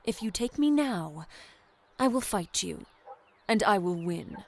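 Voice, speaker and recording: A woman's voice: mid-range voice, animated, unhurried, a little mellow. A woman playing a proud, witty, defiant accused woman of the seventeenth century in an audio drama, poised and formal. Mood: defiant, composed. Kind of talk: period audio drama acting.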